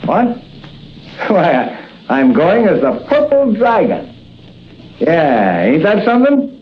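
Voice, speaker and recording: An actor playing a sneering gangster extortionist in a 1940s radio drama, on a muffled old recording with faint hiss. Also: male voice, on the high side. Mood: smug. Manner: tough, cocky street slang.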